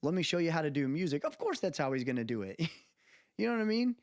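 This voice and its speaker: Male podcast host, lively swooping intonation, dry sound, opinionated, slangy, conversational. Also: a little high, fast talker, no echo. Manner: opinionated, slangy, repeatedly seeks agreement with tag questions. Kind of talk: casual podcast chat.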